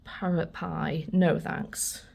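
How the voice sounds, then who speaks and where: Woman, lowish husky voice, unhurried, casual vlog commentary, half-whispered reading aloud. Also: little pitch movement, darkish tone. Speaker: vlogger. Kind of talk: casual commentary while reading aloud old newspaper adverts.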